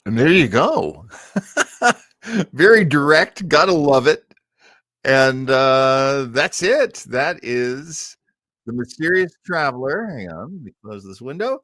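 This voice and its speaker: Animated middle-aged man hosting a livestream. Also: mid-range voice, takes it slowly, no echo. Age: 50s. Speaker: livestream host. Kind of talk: casual livestream commentary.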